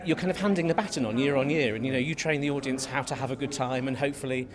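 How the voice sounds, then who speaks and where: A man's voice: medium pitch, rapid-fire. A man giving a casual, reflective interview in stop-start phrases at a busy theatre. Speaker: pantomime writer, director and dame performer. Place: busy theatre at an awards event. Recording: lively crowd chatter behind.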